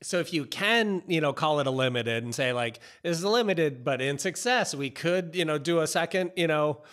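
A man's voice: fairly high pitch, animated, normal speed, a bit bright. A middle-aged man co-hosting a podcast, explaining things in a casual conversational style. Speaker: podcast host. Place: office room, indoors. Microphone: close podcast microphone on boom arm.

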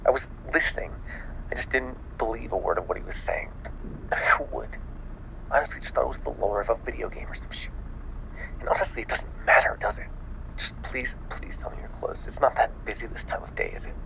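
A man playing a panicked, exhausted newly turned vampire in an ASMR audio roleplay, stammering and often dropping into a breathy whisper. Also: medium pitch, bright, forceful tone, low-quality recording. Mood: frustrated, uneasy. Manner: halting, stammering, breathy sighs and frequent swearing.

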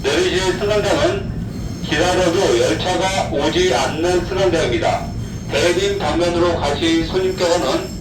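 A man's voice: high voice, moderate pace. A man working as subway staff, making formal, repetitive announcements that direct passengers at a terminus. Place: subway train and platform. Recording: echoey, noisy, distant train station announcement. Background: loud train and station noise.

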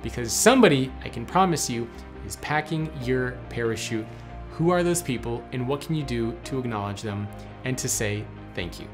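Male host, thirties, earnest storyteller, talks straight to camera, faint music bed. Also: mid-range voice. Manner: earnest, storytelling, direct address. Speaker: podcast host. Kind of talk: scripted motivational talk to camera. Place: small studio lit with neon tubes, indoors.

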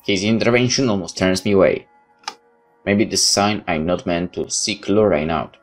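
A woman's voice: very deep voice, moderate pace, soft background music. A woman gamer reading game text aloud and adding casual, incredulous commentary. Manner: incredulous asides. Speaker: gamer doing a playthrough. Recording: faint background noise.

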